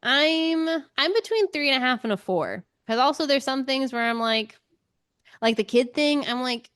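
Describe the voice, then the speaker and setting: A woman's voice: high voice, lively intonation, normal speed, fairly bright tone, no room sound, clean studio sound. A woman co-hosting a book podcast in casual chat, thinking aloud in an informal way.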